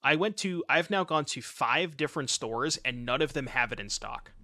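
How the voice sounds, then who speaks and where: Bright-voiced joking male streamer. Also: mid-range voice, animated, normal speed. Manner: joking, chatty, answers chat while playing. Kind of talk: casual gameplay commentary reading chat. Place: home streaming room. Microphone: desk mic with webcam.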